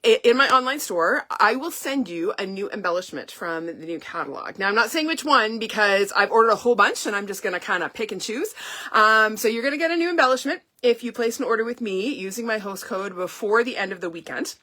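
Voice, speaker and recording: A middle-aged woman from Canada hosting a casual live stream, chatty and upbeat, her voice a little hoarse and stuffy from illness. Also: mid-range voice, lively intonation, fairly quick, bright, forceful tone. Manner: chatty, upbeat, frequent laughs and ums. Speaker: independent stamping demonstrator hosting a live launch.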